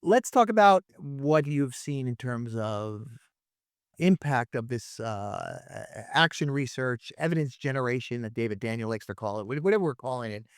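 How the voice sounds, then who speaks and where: A man's voice: medium pitch, big pitch swings, normal speed, smooth, dry recording. Male podcast host, dry close sound. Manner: informal, rephrases questions several times over. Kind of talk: conversational interviewing.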